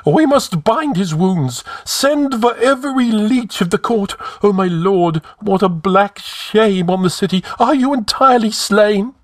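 Shrill, flustered old counselor. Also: male voice, expressive, a little slow, hardly any pauses, no echo, clean studio sound. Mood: frantic dismay. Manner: gibbering, wailing, fussy. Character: fat, flustered old male royal counselor.

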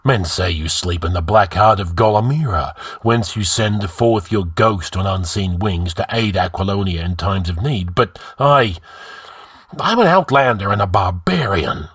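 A man's voice: low voice, expressive, measured pace, a bit bright, slightly rough, no room sound, studio quality, exaggerated voice. A man voicing a proud, blunt and wary barbarian warrior king in a fantasy audiobook. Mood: bewildered.

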